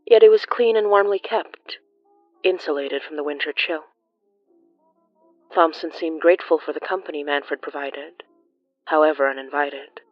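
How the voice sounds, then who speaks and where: A narrator reading scripted horror fiction in a dramatic, deliberate storytelling manner. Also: medium pitch, no echo, dull audio.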